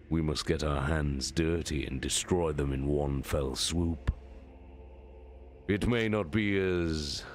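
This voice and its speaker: A man voicing a commanding, calculating warlord in a sci-fi story reading, speaking deliberately with cold contempt. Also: extremely low pitch, slight background noise. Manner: slow, deliberate, imperious. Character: commanding, calculating male warlord, coldly contemptuous of his enemies. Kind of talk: dramatic audiobook-style reading of science fiction.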